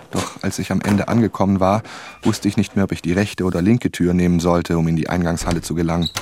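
Actor as a very low-voiced storytelling investigator. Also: male voice, a little mellow, natural voice. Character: male writer narrating his own investigation. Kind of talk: scripted first-person radio drama narration.

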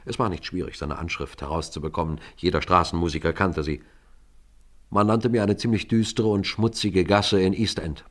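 An actor playing a businesslike Scotland Yard police sergeant in a German radio crime drama, speaking in a measured, formal way. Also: male voice, deep voice, steady tone. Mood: measured, reflective.

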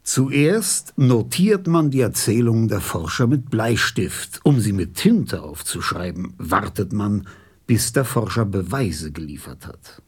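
A pompous old geographer in a radio play. The voice is husky and slow, with big swings in pitch. Every statement comes out pedantic and full of self-importance. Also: male voice, animated, a little mellow, exaggerated voice.

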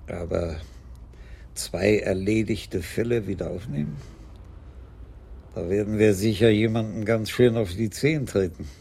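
Radio crime drama: elderly commissioner, courteous and deliberate, heavily creaky, very raspy. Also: male voice, fairly low pitch, a little mellow, heavily acted. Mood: reflective. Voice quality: gravelly, husky. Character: elderly police commissioner, courteous, dry, unhurried. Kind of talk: radio play acting.

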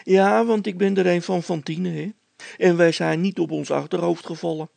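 A man's voice: high voice, fairly even intonation, moderate pace, creaky, a little mellow, no echo, pitched above the performer's own voice. A man voicing a cocky young poacher boy in a story, cheeky, stubborn and boastful, with colloquial speech. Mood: boastful, confiding.

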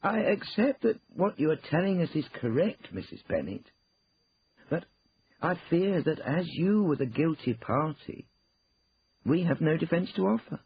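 A man voicing a stern, disapproving senior lawyer in an audiobook, formal, deliberate and pompous. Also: on the high side, sing-song, breathy edge, dull audio, pitched above the performer's own voice, heavily acted. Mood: grave, reproving. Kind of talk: acted audiobook dialogue.

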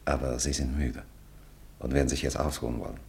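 An actor playing a courteous, formal landlord in an old radio play. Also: male voice, rumbling low, flat delivery, a little fast, gravelly, a little mellow, a little hiss or hum. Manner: polite, formal, soothing. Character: courteous Parisian landlord, older man. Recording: old mono radio drama.

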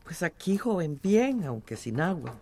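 A woman playing a stoic, folk-wise old village grandmother with a Central American accent in a radio drama, speaking slowly and solemnly. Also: on the low side, sing-song, mellow, a little hiss or hum. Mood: resigned. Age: older.

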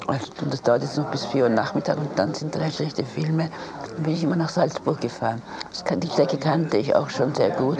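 Creaky voice reminiscing amid chatter. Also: medium pitch. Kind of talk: spontaneous spoken reminiscence.

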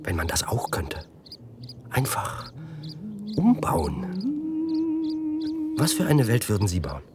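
An actor playing an intense, musing physicist in a radio drama, lecturing and speculating with halting rhetorical questions. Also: male voice, fairly low pitch, wildly swooping pitch, over loud music, full character voice. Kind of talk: German science-fiction radio drama acting.

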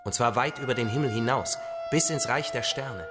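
An actor playing a patient, solemn father-like survivor who tells stories gently and with measured phrasing in a radio drama. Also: medium pitch, loud music behind the voice, close to an everyday voice. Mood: storytelling. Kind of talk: radio drama acting, storytelling.

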